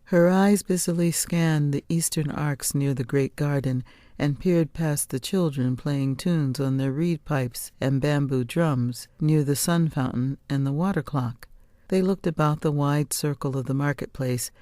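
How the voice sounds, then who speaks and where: A woman's voice: sits low, unhurried, mellow, no echo, studio quality. A woman narrating a scripted first-person novel as an audiobook reader.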